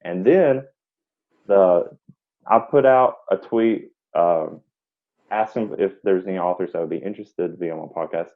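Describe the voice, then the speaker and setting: A man's voice: low voice, normal speed. A man hosting a podcast, talking casually in a rambling way as he interviews an author. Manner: rambling, many fillers and restarts. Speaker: podcast host. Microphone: online call. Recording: tinny phone-like online call audio.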